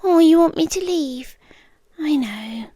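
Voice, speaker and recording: A British woman playing a calm, obsessive stalker girlfriend in an ASMR roleplay, speaking softly and deliberately close to the microphone, often drifting into whispers. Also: high-pitched, dry recording. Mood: firm, composed.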